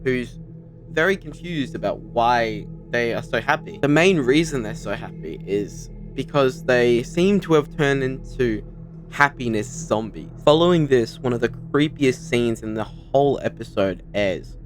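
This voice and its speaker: Casting: young man, medium pitch, casual video essay narration with a music bed. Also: animated, measured pace. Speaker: video host. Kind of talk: casual scripted video commentary. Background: background music.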